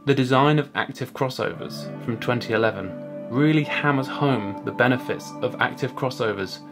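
A man's voice: medium pitch, normal speed. Man presenting a project, music behind. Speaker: master's student presenting a project. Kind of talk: scripted explainer to camera. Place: small indoor room at home.

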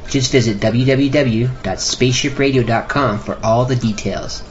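A man's voice: medium pitch, normal speed, dull audio. Male promo announcer, faint music behind. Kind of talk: scripted advertisement.

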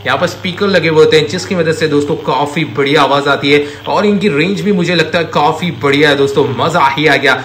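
A man's voice: on the high side, brisk, few pauses, fairly bright tone. A man enthusiastically showcasing products to viewers, gushing and addressing them as friends. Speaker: host of a toy showcase channel. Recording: roomy echo, audible background noise.

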